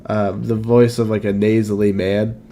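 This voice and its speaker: A man's voice: on the low side, little pitch movement, normal speed, warm tone, a little hiss or hum. A man hosting a podcast, rambling and self-deprecating in casual chat. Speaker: podcast host.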